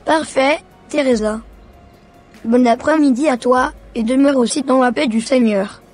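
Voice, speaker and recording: Woman as a high-voiced, earnest schoolboy. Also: measured pace, darkish tone. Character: earnest, devout young schoolboy. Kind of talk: scripted cartoon dialogue. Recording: music behind the voice.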